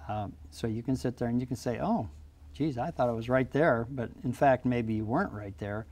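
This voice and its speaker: A man's voice: medium pitch, moderate pace, darkish tone. An older man, a pilot, explaining flying practice in a plainspoken, practical way in a small TV studio interview on a lapel mic. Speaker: guest pilot.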